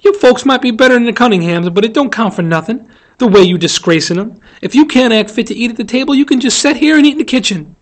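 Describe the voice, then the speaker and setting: A man's voice: extremely high pitch, animated, fast talker, voice pushed up. A man voicing a fierce, scolding housekeeper woman for a storybook, stern and emphatic, with folksy speech.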